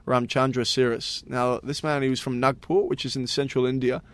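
A man's voice: mid-range voice, little pitch movement, brisk, a bit bright, no room sound. A man co-hosting a casual podcast, telling stories to his co-hosts in a chatty way, with lots of fillers. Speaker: podcast co-host presenting stories. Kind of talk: casual group chat.

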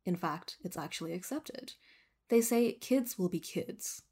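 A woman with an Australian accent reading scripted documentary narration in a measured storytelling manner as the host. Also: on the low side, lively intonation, dark tone, husky.

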